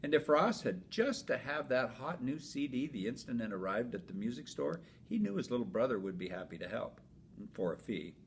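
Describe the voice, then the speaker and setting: A man who teaches elementary school reads a children's storybook aloud in an expressive, emphatic way. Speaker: fifth grade teacher reading aloud. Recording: noisy with low rumble.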